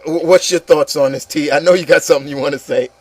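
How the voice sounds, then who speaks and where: A man's voice: on the high side, fairly even intonation, fast, darkish tone. A man hosting an opinion show in a casual, incredulous rant, repeating words for emphasis and swearing. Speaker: host of an opinion show on video games.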